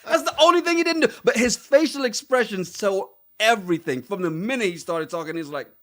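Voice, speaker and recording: A man's voice: high-pitched, expressive, a little fast. A man co-hosting a casual podcast, laughing often and acting out quotes in his reality-show recap chat. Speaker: podcast co-host.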